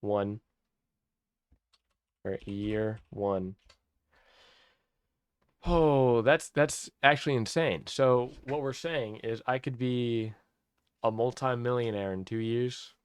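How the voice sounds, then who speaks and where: Casting: 18-year-old male vlogger, mid-pitched, excited rambling rant, keeps saying it's insane, dry close sound. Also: slow pace, long pauses, smooth, no room sound. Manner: awed, repeats himself, restarts often.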